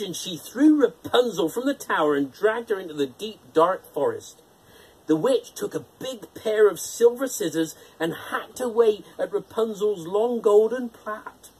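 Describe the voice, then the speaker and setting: Children's storyteller, unhurried and theatrical, slightly rough husky tone, very lively intonation. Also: medium pitch, poor audio. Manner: expressive, theatrical. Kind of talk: children's fairytale narration.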